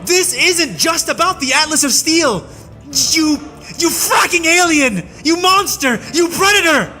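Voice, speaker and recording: A man playing an enraged human soldier, tied up and hurling a breathless, shouted tirade of hatred in an audiobook. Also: high voice, steady tone, measured pace, creaky, soft background music, pitched above the performer's own voice, full character voice. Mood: raging. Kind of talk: audiobook reading.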